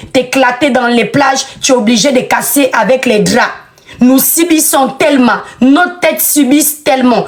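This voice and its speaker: A woman giving an outspoken commentary monologue, emphatic and scolding, repeating phrases for effect. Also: high-pitched.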